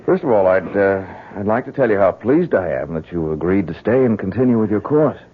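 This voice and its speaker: A young American instructor in an old radio play, earnest and quietly suspicious. His husky voice moves animatedly through polite, probing lines with small hesitations. The sound is thin and phone-like, like vintage radio. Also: fairly low pitch, animated, a little fast. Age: young adult. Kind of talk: old-time radio drama acting. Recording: thin, band-limited vintage radio recording.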